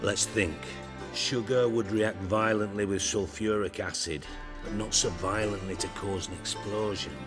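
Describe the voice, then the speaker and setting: A sarcastic, imperious, middle-aged spymaster in a video game, voiced by an actor. He speaks in a fairly low voice and a crude, commanding manner. Game music plays clearly behind him. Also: steady tone, a little slow, in a large hall, close to an everyday voice. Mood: sardonic. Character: sardonic, bossy male spymaster, middle-aged.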